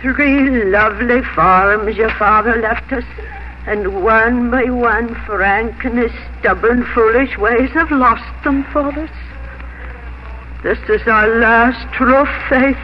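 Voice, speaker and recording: A woman's voice: on the high side, slow. An actress playing a fretful, self-pitying widowed mother in a melodramatic, lamenting 1950s radio drama style. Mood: bitter lamenting. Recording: noisy, muffled 1950s radio recording.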